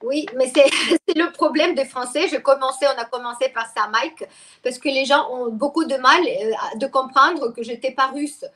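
A woman's voice: high voice, normal speed, fairly bright tone, a little hiss or hum. A middle-aged woman, talking at length as an interview guest, with many restarts and fillers. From Ukraine. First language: Russian. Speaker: guest expert. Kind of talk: interview conversation.

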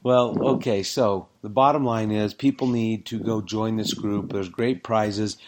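A man's voice: on the low side, fairly even intonation, a little slow, background noise. Rambling, jokey man co-hosting a podcast. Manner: rambling, jokey, gets worked up over stats. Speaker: podcast co-host. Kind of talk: casual sports podcast chat.

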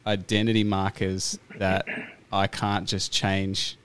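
An Australian man hosting a podcast, talking in a relaxed, thoughtful way with plenty of fillers. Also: low-pitched, slow pace, clean tone. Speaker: podcast host. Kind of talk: casual interview chat.

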